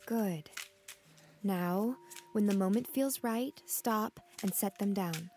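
A woman's voice: medium pitch, takes it slowly, a little mellow, faint music behind. An actress playing a young woman who reads tarot in an audio drama, soothing and concerned. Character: caring young woman tarot reader, gently reassuring. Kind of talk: naturalistic audio drama acting.

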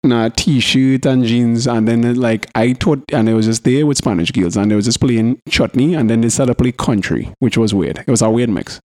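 A man with a Trinidadian accent co-hosting a podcast, bantering in casual slang and telling stories in an animated, coarse, sweary way. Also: mid-range voice, normal speed, hardly any pauses, no echo, studio quality. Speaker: podcast co-host.

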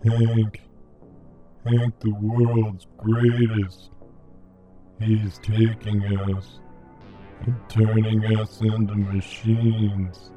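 A man playing a weary, pleading radio host turned half machine, speaking in a halting, desperate way in an audio drama. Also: on the low side, flat delivery, muffled recording, faint music behind. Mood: urgent.

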